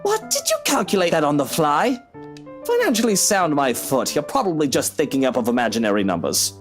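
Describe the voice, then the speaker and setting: A man's voice: a little high, very animated, brisk, soft background music. A man playing a haughty, sarcastic, impatient rich husband in a dramatic visual novel, with a petulant and imperious manner. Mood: indignant. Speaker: game character.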